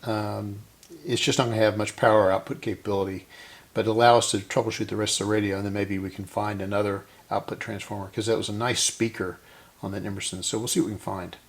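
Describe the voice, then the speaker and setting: Low-voiced, gravelly man thinking aloud. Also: level tone, fast talker. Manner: thinks aloud, often corrects himself mid-task. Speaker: host of a radio repair channel. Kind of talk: casual hands-on repair narration.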